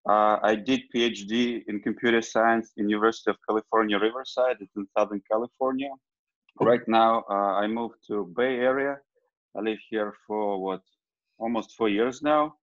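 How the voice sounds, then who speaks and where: A man's voice: fairly low pitch, fairly even intonation, normal speed, no room sound. Man from Ukraine, rambling and casual, on a dry, lo-fi video call. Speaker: meeting participant. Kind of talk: casual self-introduction on a call.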